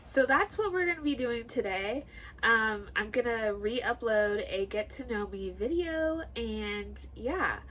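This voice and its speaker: Congested nasal girl in her 20s rambling through a get to know me vlog, talks slow, bit of background noise. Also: a little high, animated, sharp tone. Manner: chatty, rambling, full of likes and ums. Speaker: YouTuber.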